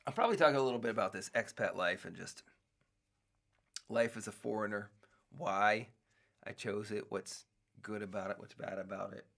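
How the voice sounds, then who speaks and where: A middle-aged man with a low, gravelly voice talks loosely on his own show. He drifts from thought to thought, restarting sentences and filling gaps with fillers as he muses. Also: moderate pace, pauses often, fairly bright tone. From United States. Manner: rambling, musing, many restarts and fillers. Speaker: podcast host. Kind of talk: casual solo talk.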